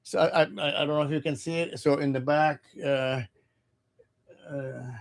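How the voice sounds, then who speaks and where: A man from Cuba, reading tarot cards in a halting, casual livestream chat and often repeating his words. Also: fairly high pitch, steady tone. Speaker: tarot reader.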